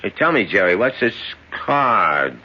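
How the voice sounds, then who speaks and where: An actor playing a suspicious, tough escaped convict with streetwise, slangy speech in an old-time radio drama. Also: male voice, on the low side, sing-song, a little slow, slightly rough, exaggerated voice. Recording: muffled, noisy old radio recording.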